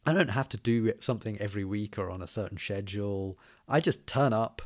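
A man's voice: on the low side, fairly quick. A man with a light accent mixing Scottish and southern English, chatting as a podcast guest and often restarting his sentences with fillers. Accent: mixed Scottish and southern English. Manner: hesitant, many restarts and fillers. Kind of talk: casual podcast conversation.

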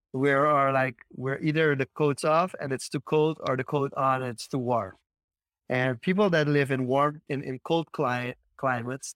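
A man's voice: medium pitch, fairly bright tone, clear, resonant tone, no echo. A man from Caribbean America, hosting a casual chat and explaining things in short, broken phrases. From Caribbean Latin America. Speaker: podcast host.

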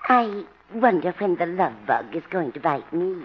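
A woman's voice: on the low side, very animated, normal speed, a crowd in the background. A woman acting a sweet, playful older mother in a 1950s radio comedy, cooing and gushing. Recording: noisy, muffled 1950 radio recording.

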